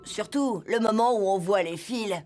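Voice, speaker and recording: A woman's voice: mid-range voice, normal speed, music in the background, natural voice. A woman voicing a cheeky, inventive schoolboy in a children's cartoon, with a theatrical, glib delivery. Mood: upbeat scheming. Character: cheeky, inventive schoolboy who leads his friends' schemes. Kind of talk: animated children's series dub.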